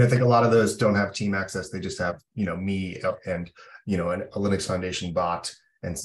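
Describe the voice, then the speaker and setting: A man with a low voice talks casually in an online technical meeting. His delivery is even, with very little movement in pitch. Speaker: meeting participant. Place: online group call.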